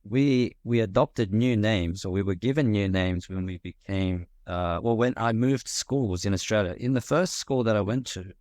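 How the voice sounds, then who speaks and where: A man hosting a podcast, chatting thoughtfully with many fillers and pauses. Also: lowish voice, darkish tone, dry recording, studio quality. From Korea. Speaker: podcast host. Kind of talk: casual conversation. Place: Australia.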